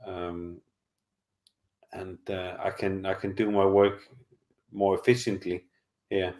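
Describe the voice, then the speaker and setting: Middle aged guy, lowish voice, rambly live chat from home, lots of uhs and ums. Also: flat delivery, slow, a little mellow. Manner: hesitant, rambling, many fillers and restarts. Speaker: channel host answering live chat. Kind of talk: casual live-stream chat.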